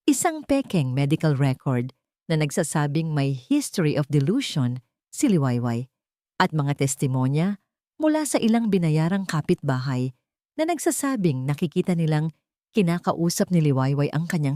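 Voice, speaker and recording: A woman's voice: sits low, big pitch swings, normal speed, mellow, no room sound, clean studio sound. A woman reading a scripted, dramatic romance story as its narrator.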